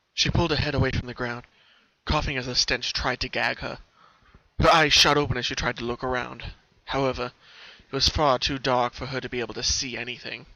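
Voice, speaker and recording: A man's voice: mid-range voice, creaky, bright, forceful tone. A man reading a story aloud as a dramatic scripted narration, on a lo-fi home recording. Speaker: fan fiction narrator.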